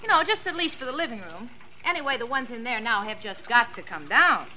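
Bright, high-voiced 1940s radio housewife. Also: female voice, very animated, brisk, heavily acted. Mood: busy, coaxing. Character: fussy, anxious young housewife, chatty and affectionate. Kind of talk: 1940s radio drama acting. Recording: noisy vintage radio recording with clicks.

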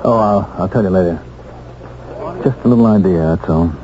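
An actor playing a determined, polite man out to clear his name in a 1970s radio drama, earnest and probing. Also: sits low, moderate pace, natural voice. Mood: thoughtful. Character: determined, polite wronged ex-convict investigating his framing. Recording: dull 1970s radio recording, crowd behind.

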